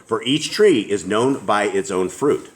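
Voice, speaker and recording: A middle-aged man with a deep, low voice leads a home Bible study. He reads scripture aloud at an unhurried pace and stops to put questions to the others. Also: lively intonation, a little hiss or hum.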